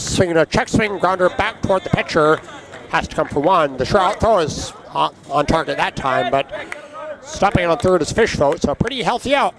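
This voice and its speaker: A man calling a baseball game play-by-play, his voice raspy and hoarse from allergies, chatting between pitches. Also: a bit bright. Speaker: play-by-play announcer.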